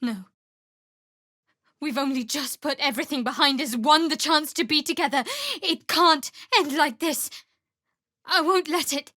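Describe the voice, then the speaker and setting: An actress playing a guarded young cleric of a dark goddess in a video game, with a dry, sardonic, clipped manner. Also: female voice, high voice, a little slow, long pauses, no room sound. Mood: forceful, urgent. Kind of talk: video game character voice lines.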